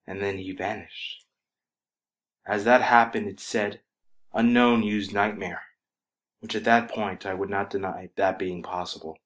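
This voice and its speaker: A man reading a scripted horror story as its narrator, in an ominous, dramatic manner that can drop to a hush. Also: on the low side, fairly even intonation, a little slow, long pauses.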